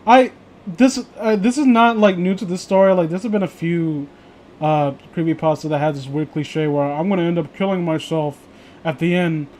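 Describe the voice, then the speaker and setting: A man doing casual commentary and banter as a streamer reading stories aloud, stammering, exasperated and quick to swear. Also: a little high, steady tone, a little mellow, slight background noise. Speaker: streamer reading creepypasta with friends.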